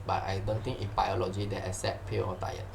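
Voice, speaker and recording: A man's voice: deep voice, monotone, quick pace, creaky, a little hiss or hum. A man with a Malaysian accent teaching science informally, repeating phrases and tagging sentences with "lah" and "huh". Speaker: science tutor. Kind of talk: informal exam-question lesson.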